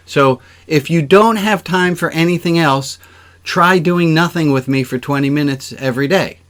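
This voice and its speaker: A man's voice: on the high side, normal speed, fairly bright tone. A man speaking as a rabbi, giving chatty, informal announcements to an online congregation on a video call, with joking asides. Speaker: rabbi hosting an online service.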